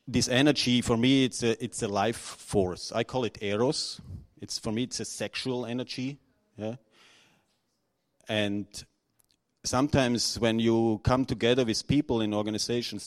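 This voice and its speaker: A middle-aged man from Austria, speaking unscripted to an audience, halting and nervous, with many restarts and fillers. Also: medium pitch. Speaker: conference speaker.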